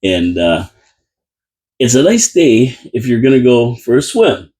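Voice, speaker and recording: A man's voice: medium pitch, big pitch swings, unhurried, mellow, husky. A man hosting a live local radio talk show from a small studio, chatty and rambling with lots of restarts. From Canada. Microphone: studio microphone.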